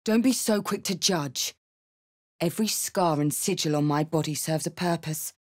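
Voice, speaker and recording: Fantasy game role: tough female adventurer, soulful but gruff, delivering blunt, clipped remarks and incantations. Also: low voice, normal speed, darkish tone. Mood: musing. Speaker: video game character actor. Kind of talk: fantasy video game voice lines.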